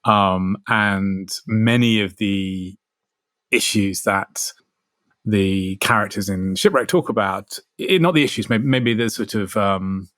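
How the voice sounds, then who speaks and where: A man giving reflective commentary, choosing his words carefully and haltingly. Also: fairly low pitch, a bit bright, no room sound. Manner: hesitant, searching, careful wording. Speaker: theatre leader.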